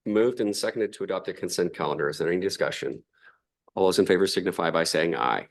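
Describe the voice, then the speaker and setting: A man with a low, deep voice chairs a city council meeting. He moves through motions and votes in a measured, slightly halting way. His voice is going, so he keeps clearing his throat. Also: expressive. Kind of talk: formal meeting procedure.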